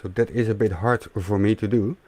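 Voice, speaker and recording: A man's voice: lowish voice, steady tone, fairly quick, mellow, dry recording. Man reading out super chats, dry sound. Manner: reads out messages, interrupts with a laugh. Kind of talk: casual chat.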